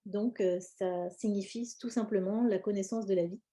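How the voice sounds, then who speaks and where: A woman from France gives an informal explanatory live presentation, speaking hesitantly and often pausing mid-phrase. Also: medium pitch, fairly even intonation, warm tone. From born in India, grew up in France. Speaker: presenter, cosmetics brand founder.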